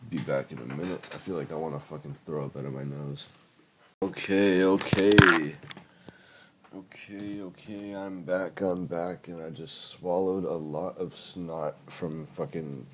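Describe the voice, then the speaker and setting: Congested teen guy, very deep voice. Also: slight background noise. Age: late teens. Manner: rambling, sweary, lots of fillers. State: congested from a cold. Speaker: YouTuber reviewing his old music. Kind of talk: casual screen-recording commentary.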